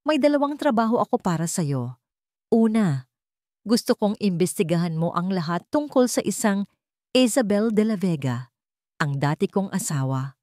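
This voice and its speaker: A woman's voice: mid-range voice, lively intonation, normal speed, long pauses, darkish tone, dry recording, clean studio sound, close to an everyday voice. A woman voicing an anguished, commanding rich businessman and father in a narrated drama story. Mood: urgent, commanding.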